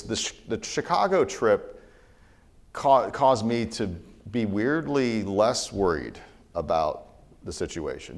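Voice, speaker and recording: A man answering an audience question at a talk, rambling and hesitant but frank. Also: on the low side. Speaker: economist speaker.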